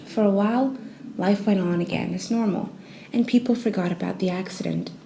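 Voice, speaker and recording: A woman narrates a children's bedtime story in a soothing, gently expressive way. Her voice is mellow and relaxed, at an average pitch. There is faint noise behind her. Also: fairly quick, creaky, rough recording.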